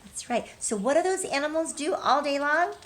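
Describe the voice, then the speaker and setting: A woman teacher reading a storybook aloud expressively, pausing to put questions to young listeners. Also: high-pitched, expressive, fairly bright tone.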